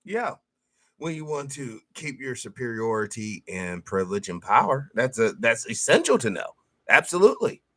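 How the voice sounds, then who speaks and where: A man's voice: mid-range voice, big pitch swings, moderate pace, fairly bright tone. A man reacting to a speech clip, giving blunt, critical rebuttals in a casual way. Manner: critical, plain-spoken rebuttals. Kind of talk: casual commentary.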